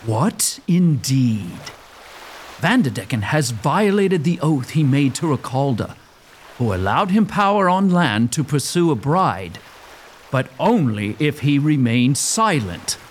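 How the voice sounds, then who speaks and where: A man's voice: medium pitch, very animated, takes it slowly, a little hiss or hum. A man narrating a gothic audio drama as a scripted story, in a theatrical, suspenseful manner.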